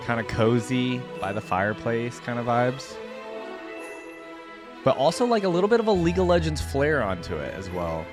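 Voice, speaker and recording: A man's voice: mid-range voice, sing-song, normal speed, over music. A man giving casual, easygoing reaction commentary as a video host, rambling and thinking aloud.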